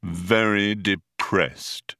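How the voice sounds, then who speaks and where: A man voicing a depressed, self-pitying robot in an audiobook, speaking in a slow, flat, dejected drone. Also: low voice, no room sound, studio quality, exaggerated voice. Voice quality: muffled drone. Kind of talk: audiobook character voice.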